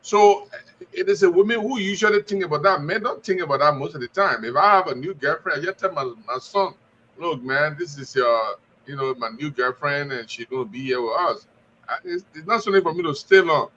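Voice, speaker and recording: A man's voice: a little high, a little fast, pushed and bright, dull audio, a little hiss or hum. A man on a radio panel, confidently giving his opinions and telling stories with quoted dialogue. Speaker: studio guest panelist. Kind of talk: opinion talk.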